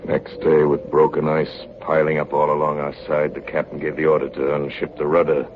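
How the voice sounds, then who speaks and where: An actor playing a ship's chief engineer, gravely narrating a doomed Arctic expedition in a 1930s radio drama. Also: male voice, rumbling low, monotone, a little fast. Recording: muffled, noisy 1938 radio broadcast recording.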